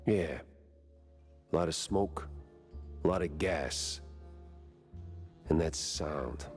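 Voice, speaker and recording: Deep-voiced hard-boiled American detective. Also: male voice, slow, soft background music. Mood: weary, brooding. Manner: wry, deadpan, terse noir delivery. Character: hard-boiled, world-weary male mouse detective. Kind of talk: 1930s noir cartoon game acting.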